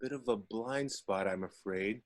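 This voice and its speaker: A man's voice: fairly low pitch, measured pace, close to an everyday voice. A man voicing a dry, grumbling male village CCTV operator at a table read. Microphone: video call.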